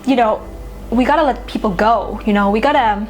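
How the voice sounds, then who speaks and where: A woman's voice: on the high side, brisk, slight background noise, poor audio. A woman whose first language is Thai, an astrologer musing in casual talk to camera, full of "you know" and "like".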